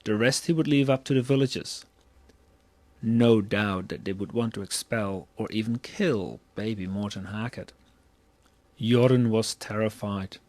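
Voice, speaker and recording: A man's voice: on the low side, measured pace, darkish tone, dry recording. A man narrating a scripted story as a storyteller.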